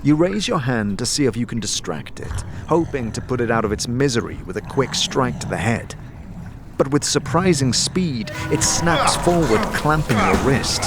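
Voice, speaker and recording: A man's voice: mid-range voice, very animated, moderate pace, over music. A man delivering dramatic scripted narration as the game master of a fantasy story. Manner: descriptive second-person storytelling. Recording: clear background noise, ambience.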